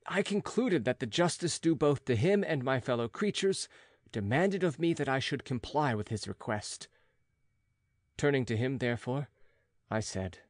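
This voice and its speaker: A man narrating a classic gothic novel as an audiobook, in a measured, dramatic literary manner. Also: mid-range voice, no room sound.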